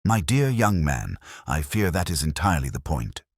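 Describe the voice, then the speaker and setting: A mild, cryptic inspector from a detective story, as a male narrator reads him. His voice is very deep and husky, and he muses formally and without hurry. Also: big pitch swings, moderate pace, dry recording, studio quality, heavily acted. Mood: grave, musing.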